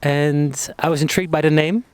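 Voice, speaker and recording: A man hosting a podcast interview on an outdoor bench, friendly and hesitant, with many pauses and fillers. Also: a little high, fairly even intonation, smooth. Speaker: podcast host. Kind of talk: casual interview.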